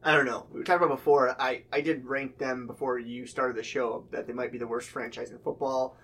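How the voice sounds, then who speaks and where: A laid-back man from the Chicago area co-hosts a casual sports podcast. He speaks dryly and a bit hesitantly. His voice has an ordinary middle pitch. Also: little pitch movement, a little fast, fairly bright tone, husky. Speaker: podcast co-host, stats segment.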